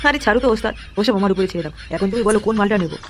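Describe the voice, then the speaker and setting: A woman's voice: mid-range voice, very fast, noisy background, pitched below the performer's own voice, lightly acted. A woman doing a cheeky male henchman. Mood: eager. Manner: fawning toward his boss, giggly. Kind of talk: cartoon voice acting.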